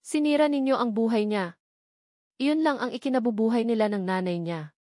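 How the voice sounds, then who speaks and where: A woman's voice: on the high side, fairly quick, a little mellow, no room sound, clean studio sound, natural voice. A woman voicing a pained, pleading young son torn between his mother and his love in a story. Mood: indignant.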